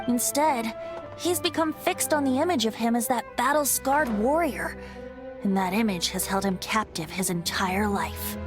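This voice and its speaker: A woman's voice: a little high, natural voice. A woman voicing a breezy, teasing young male bard with a knowing manner in a fantasy game. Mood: solemn, gentle. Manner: light, knowing, playful.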